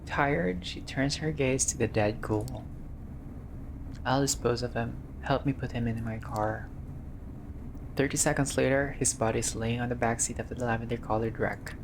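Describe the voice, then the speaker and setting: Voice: mid-range voice, fairly even intonation, normal speed. A gamer doing a casual playthrough, reading visual novel text aloud with informal asides, some swearing and the odd stumble. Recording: clearly noisy recording.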